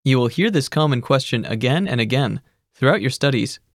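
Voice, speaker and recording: A narrator giving measured, scripted instructions in a language course. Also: medium pitch, no room sound, studio quality. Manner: even, measured teacherly instructions.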